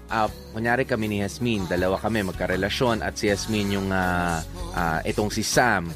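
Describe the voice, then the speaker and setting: A man's voice: fairly low pitch, steady tone, moderate pace, over music. A man with a Filipino accent co-hosting a radio show, giving casual relationship advice in a conversational way, acting out examples and switching between languages. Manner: conversational, illustrates points with acted-out examples. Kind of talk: casual relationship advice chat with Tagalog-English code-switching.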